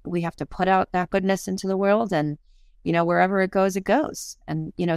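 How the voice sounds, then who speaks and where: A woman's voice: fairly low pitch, clear, resonant tone, dry recording, studio quality. A woman in her fifties, a parenting coach and author, talking earnestly and reflectively in a podcast interview, with self-deprecating honesty.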